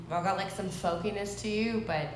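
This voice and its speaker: A woman's voice: mid-range voice, a little fast. A woman host with a stuffy voice from a cold, making loose, chatty announcements from a live music hall stage. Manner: chatty, loose, filler-heavy. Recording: echoey hall with loud crowd noise.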